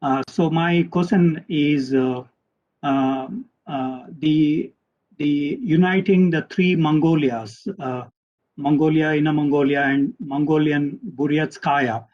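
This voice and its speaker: Hesitant moderator, muffled call audio. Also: male voice, fairly high pitch, fairly even intonation, slow pace. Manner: hesitant, heavy use of fillers, polite. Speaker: panel moderator. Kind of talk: moderating a panel discussion.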